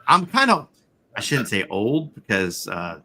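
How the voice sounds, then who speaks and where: A man's voice: lowish voice, huge pitch swings, measured pace, bright, forceful tone. A man in his forties chatting casually, rambling with lots of fillers and the odd swear. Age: 40s. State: chronic back pain. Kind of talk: casual chat.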